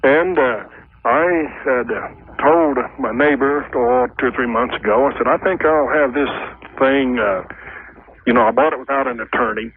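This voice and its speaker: A mature man with a gravelly voice speaks as a radio caller. He explains things casually, wandering and hesitating in a homespun way. His voice comes over a narrow phone line with faint noise. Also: medium pitch, expressive, normal speed. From United States, Oklahoma. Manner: folksy, hesitant, rambling. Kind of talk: casual explaining of a personal problem.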